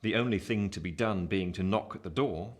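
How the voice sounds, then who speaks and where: A man's voice: on the low side, fairly quick, very noisy, lightly acted. A man narrating a radio play as a grown man recalling his boyhood, in a scripted literary style.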